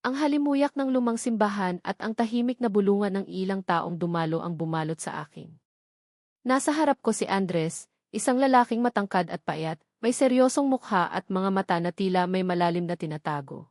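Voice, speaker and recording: A woman narrates a scripted first-person love story in an emotive, melodramatic way with deliberate phrasing. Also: mid-range voice, a little mellow, no room sound, studio quality. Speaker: audio drama narrator.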